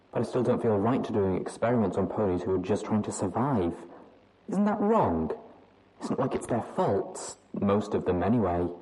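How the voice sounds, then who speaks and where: A woman's voice: a bass voice, mellow, poor audio. A woman reading aloud as an anxious young adult mare pony who rambles hesitantly and doubts herself. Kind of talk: audiobook reading of fan fiction.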